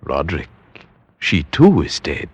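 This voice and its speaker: Deep, solemn gothic gentleman narrator. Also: huge pitch swings, slightly rough, heavily acted. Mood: grave concern. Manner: solemn, measured, portentous. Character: grave, anxious gentleman friend recounting gothic horror. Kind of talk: classic radio drama narration and acting. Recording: vintage radio drama with music underneath. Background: music.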